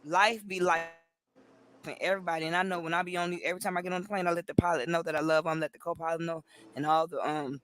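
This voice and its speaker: A woman from Cleveland co-hosting a casual livestream chat from home over a video call, animated and laughing often. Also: fairly low pitch, quick pace. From Cleveland, Ohio. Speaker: co-host.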